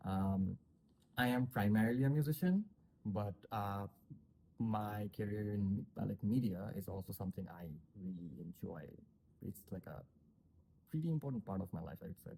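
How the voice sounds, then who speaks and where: A man's voice: lowish voice, moderate pace, vocal fry, warm tone, a little hiss or hum, poor audio. A man in his twenties from Bangladesh, chatting casually and informally as a panel guest. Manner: informal, frequent "like". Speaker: panel guest, musician and media manager. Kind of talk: casual chat.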